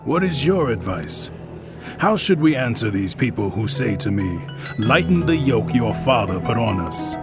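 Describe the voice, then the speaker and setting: A man's voice: medium pitch, big pitch swings, normal speed, lightly acted. A man playing a proud, harsh young king in a dramatized scripture, imperious and declamatory, with music behind him. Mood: questioning. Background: loud music.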